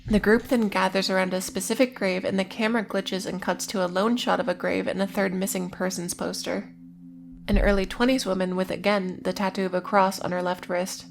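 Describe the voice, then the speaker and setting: A woman's voice: mid-range voice, little pitch movement, a little fast, vocal fry. A woman narrating a scripted video essay in an informal way, with casual, wry asides amid plain recapping. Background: music.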